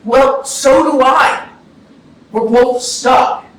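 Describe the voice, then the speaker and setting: A man's voice: very high voice, fairly even intonation, drawn-out words, a bit bright, heavily acted. A man playing a grieving, guilt-ridden brother, pleading and defensive in a staged play reading. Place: arts centre theatre. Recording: very echoey stage, distant mic, faint noise.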